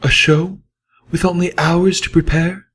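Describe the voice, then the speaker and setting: A man's voice: sits high, little pitch movement, unhurried, fairly bright tone, slight background noise, voice pushed up. A man plays a refined mare who sings for a living, in a pony adventure audiobook. The voice is lifted above his own, fretful and fussy, as if thinking aloud. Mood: nervous, indignant.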